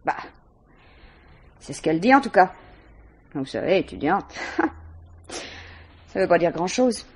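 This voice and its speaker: An actress playing a guarded, disdainful young student, curt and defensive, in a 1950s French radio mystery. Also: female voice, on the low side, big pitch swings, measured pace, husky, full character voice.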